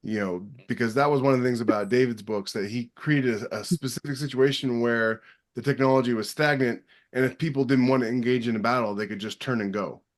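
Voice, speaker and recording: A man with a medium-pitched voice speaks as a podcast co-host. He is explanatory and opinionated in a casual panel conversation. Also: steady tone, fairly quick.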